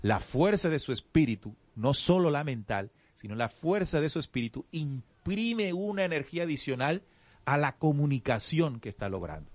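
A man's voice: on the high side, animated, moderate pace, no room sound. A man teaching spiritual ideas in casual conversation, reflective and explanatory, often restarting his sentences. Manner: addresses the audience as "usted".

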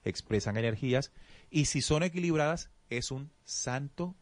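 A man's voice: mid-range voice, lively intonation, normal speed, no echo. A man with a mid-range voice explains spiritual teachings in a conversational, reflective way. He speaks directly to his listeners, restarting his phrases as he works through ideas. The sound is dry and close. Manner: addresses the audience as "usted".

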